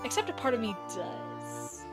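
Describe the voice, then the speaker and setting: A hoarse woman voicing a sulky, snide male rabbit prince from a cartoon game, speaking proudly and sarcastically. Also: a little high, very animated, unhurried, loud music behind the voice. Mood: sour.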